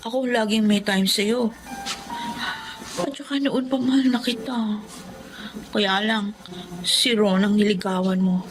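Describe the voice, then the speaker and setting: A woman's voice: medium pitch, fairly even intonation, unhurried, long pauses, music in the background, lightly acted. An actress playing a lovestruck, insistent young woman in a Filipino melodrama, with a Filipino accent. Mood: pleading, lovesick. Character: lovestruck young woman, insistent, pining for her friend's boyfriend. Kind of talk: Filipino radio-style melodrama acting. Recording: clearly noisy background.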